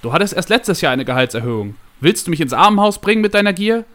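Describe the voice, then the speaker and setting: Man acting a pompous, bossy company boss, pitched up. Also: animated, brisk, natural voice. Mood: outraged. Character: greedy, bombastic male trading company boss. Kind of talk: dramatic audiobook storytelling.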